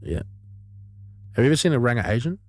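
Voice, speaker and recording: A man's voice: mid-range voice, very animated, fast, dry recording. A man co-hosting a podcast, bantering bluntly and irreverently with plenty of swearing. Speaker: podcast co-host. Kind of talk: casual banter, heavy swearing. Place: vintage caravan turned studio.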